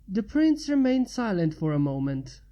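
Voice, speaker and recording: A woman's voice: mid-range voice, big pitch swings, normal speed, dark tone, lo-fi sound. A woman narrating fan fiction as a story reader.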